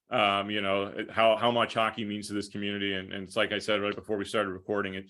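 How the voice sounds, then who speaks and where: An American man answering interview questions conversationally as a guest broadcaster, with measured, hesitant phrasing. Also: lowish voice, little pitch movement, sharp tone. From Minnesota, United States. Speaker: podcast guest, hockey play-by-play broadcaster.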